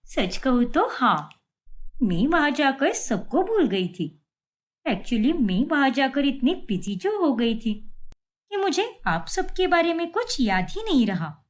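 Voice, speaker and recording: A woman's voice: high-pitched, big pitch swings, takes it slowly, exaggerated voice. A woman voicing a rude, stubborn young new bride with a blunt, curt way of talking.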